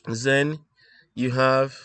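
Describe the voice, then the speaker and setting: A man giving an informal technical lesson as he draws, explaining step by step in a halting way and thinking aloud. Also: medium pitch, steady tone, fairly bright tone, smooth, no room sound. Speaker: instructor presenting an electronics tutorial. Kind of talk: informal technical lesson, talking while drawing.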